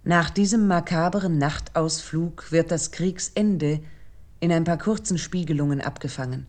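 A woman reading a scripted literary essay in a measured, solemn manner. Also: sits low, darkish tone. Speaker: narrator and literary commentator.

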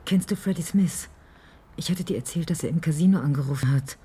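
A woman's voice: low-pitched, brisk, noisy background, lightly acted. An actress playing a calculating, persuasive female schemer in a German radio crime drama, controlled and matter-of-fact. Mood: composed, persuasive. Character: cool, calculating female schemer named Paula, smoothly persuasive.

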